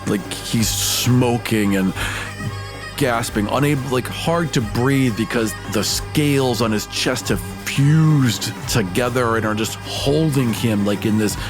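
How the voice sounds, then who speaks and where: A man's voice: mid-range voice, slow pace, music in the background. A man playing a tabletop game, casually narrating his character's moves and dice rolls.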